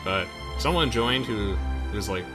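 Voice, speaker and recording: Chatty young man, bright low-pitched voice. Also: expressive, measured pace. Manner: chatty, playful. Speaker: let's play host. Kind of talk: casual game commentary. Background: game music.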